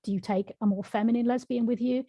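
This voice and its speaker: A woman's voice: fairly high pitch, steady tone, fast, warm tone, no room sound. A woman, an author answering interview questions in a frank, reflective way, laughing now and then. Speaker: author and guest.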